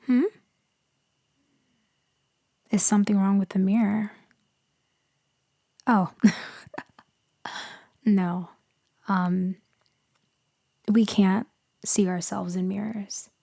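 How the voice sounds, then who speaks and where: Casting: woman as tender vampire rescuer for ASMR roleplay, soft whispery delivery, unhurried, very even pitch. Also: no room sound, clean studio sound, heavily acted. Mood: gentle, explanatory. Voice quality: soft and breathy, often dropping to a whisper. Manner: tender, slow, reassuring, hesitant pauses. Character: gentle female vampire soothing someone she saved. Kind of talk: intimate ASMR roleplay acting.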